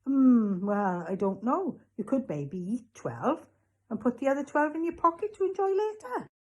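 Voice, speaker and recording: A woman voicing an eccentric, eager male newsagent in a storybook, deliberate and full of salesman's enthusiasm. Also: sits high, big pitch swings, voice pushed up. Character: eccentric, eager male newsagent pushing odd special offers. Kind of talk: storybook character voice.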